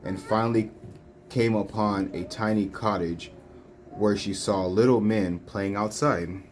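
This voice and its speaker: A woman reading children's storybooks aloud in a deliberate, phrase-by-phrase way. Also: rumbling low, a little mellow. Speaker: storybook reader. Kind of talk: children's read-along narration. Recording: faint background noise, home recording.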